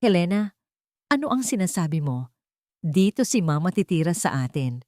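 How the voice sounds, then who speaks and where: A woman's voice: fairly low pitch, big pitch swings, normal speed, dark tone, no echo, studio quality. A woman voicing a devoted adult son in an audio drama, firm and reassuring.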